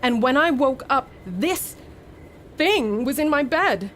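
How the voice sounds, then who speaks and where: An actress playing a haunted, anxious young student in a radio play, with a halting, uneasy delivery. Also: female voice, high voice, lively intonation, a bit bright, slight background noise, exaggerated voice. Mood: agitated. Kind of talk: dramatic radio play acting.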